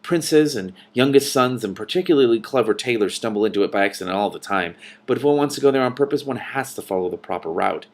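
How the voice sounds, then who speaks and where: A man voicing a calm, matter-of-fact female dragon in a storybook, composed and explanatory. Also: mid-range voice, fast talker, hardly any pauses.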